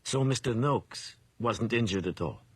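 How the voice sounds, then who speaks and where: An actor playing a composed male judge in a radio drama, deliberate and questioning. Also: on the low side, lively intonation, slightly rough. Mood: probing.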